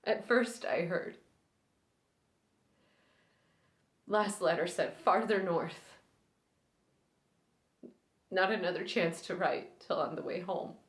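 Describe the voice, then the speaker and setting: Woman acting a halting grief-stricken widow, roomy echo. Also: fairly low pitch. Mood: grieving. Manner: halting, short broken phrases, sighing, swinging from hushed to pained. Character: grief-sealed reclusive widow, long silent, haltingly breaking her silence. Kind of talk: dramatic stage monologue. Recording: roomy, echoing indoor sound.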